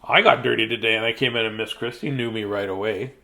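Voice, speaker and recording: A man in his fifties reads children's bedtime picture books in an animated, playful, childlike way, with chatty asides. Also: on the low side, fast, vocal fry. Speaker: children's storyteller. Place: small decorated room indoors.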